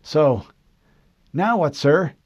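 A man doing a shaky, high young marine. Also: lively intonation, drawn-out words, darkish tone, husky, no room sound, exaggerated voice. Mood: anxious. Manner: shaky, anxious questions. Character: nervous young male marine private. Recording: dull lo-fi dry recording.

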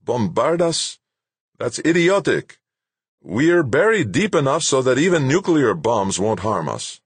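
A man acts out a stubborn, self-important male scientist from an audiobook. His voice is raised and husky, swinging indignantly as he insists on getting his way. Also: medium pitch, big pitch swings, a little slow, no echo, pitched above the performer's own voice, exaggerated voice. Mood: scornful. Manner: insistent, clipped protesting. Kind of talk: acted audiobook dialogue.

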